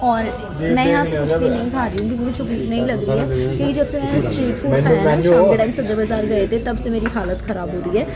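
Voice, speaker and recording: A woman's voice: fairly high pitch, fast, over loud music. A young woman in her twenties vlogging casually on a trip, talking straight to her viewers. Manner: chatty, addresses viewers directly. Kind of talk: casual travel vlog chat. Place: streets, car and restaurant, mixed indoors and outdoors. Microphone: handheld phone camera at arm's length. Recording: loud background noise from streets and traffic.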